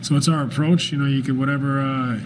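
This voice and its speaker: A man in his forties, a basketball head coach answering postgame press questions in a calm, thoughtful way, often pausing with fillers and restarting phrases. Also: mid-range voice, background noise. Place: press room with sponsor backdrop, indoors. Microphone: desk microphone at arm's length.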